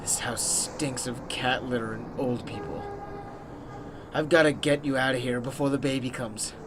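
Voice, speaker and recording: A man playing a struggling young comedian and husband in a radio drama, halting, wounded and defensive. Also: mid-range voice, steady tone, noisy background, lightly acted. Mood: despairing. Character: struggling young comedian husband, anxious, bitter and self-pitying.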